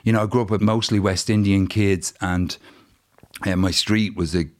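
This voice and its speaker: A man in his fifties, born in Ireland, telling stories in a rambling, halting way in a casual interview. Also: low-pitched, fairly even intonation. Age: 50s. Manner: rambling, halting storytelling with many restarts. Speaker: musician guest.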